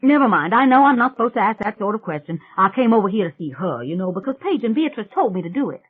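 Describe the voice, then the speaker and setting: A woman voicing a brash young country woman who chatters loudly and gushes. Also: medium pitch, very animated, husky, voice pushed up. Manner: loud, gushing, rapid chatter. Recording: tinny phone-like sound.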